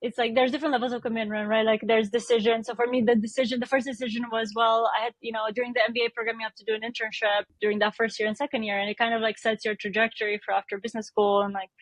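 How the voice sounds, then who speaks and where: A woman's voice: fairly high pitch, little pitch movement, racing, few pauses, no echo, poor audio. A woman in her thirties from Romania, a startup founder answering interview questions thoughtfully, often restarting her sentences. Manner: thoughtful, many restarts and self-corrections, light laughs.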